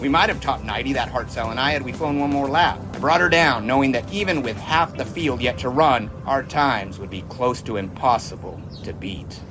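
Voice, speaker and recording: An actor playing a confident, folksy 1920s American test pilot in an audio drama, jaunty and boastful, with old-fashioned wordy phrasing. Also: male voice, mid-range voice, normal speed, hardly any pauses, over music, lightly acted. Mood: confident, reminiscing. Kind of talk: audio drama dialogue and first-person storytelling.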